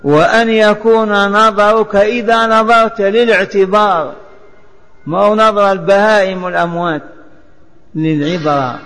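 High-voiced man chanting a religious lesson. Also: steady tone, moderate pace, a bit bright. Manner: chants and repeats the text in a sing-song. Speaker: religious teacher. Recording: long hall reverb.

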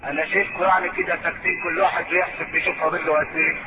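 A man with an Egyptian accent delivers a sermon in a high-pitched voice. He addresses his listeners as a storyteller, mixing everyday asides with stretched, declaimed phrases. The sound is thin and phone-like, with clear noise behind him. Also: flat delivery. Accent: Egyptian Arabic. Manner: rhetorical, alternating colloquial asides with drawn-out declamation. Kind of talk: sermon to a congregation with storytelling and recited verse. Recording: noisy, narrow phone-like sound.

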